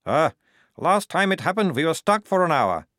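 A fretful, rule-minded army corporal, a step above the reader's own pitch; a man acts him out. Close, dry reading. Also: sing-song, normal speed, a bit bright, no room sound. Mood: anxious.

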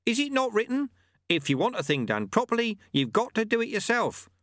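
A man's voice: sits high, sing-song, brisk, fairly bright tone, no echo, clean studio sound, voice pushed up. A man voicing a serene old male monk and sweeper who speaks in a mild, matter-of-fact way and quotes proverbs.

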